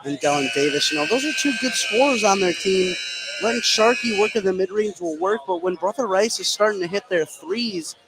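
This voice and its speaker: A man's voice: sits high, expressive, fairly quick, crowd noise, low-quality recording. A man working as a color analyst, explaining a live basketball game in a casual, conversational way. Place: indoor basketball gym.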